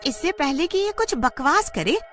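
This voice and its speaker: A scheming young-sounding witch sister from a horror tale, put on by a performer. Her voice is piercingly high and very animated. She sounds impatient and hungry for blood. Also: moderate pace, faint music behind.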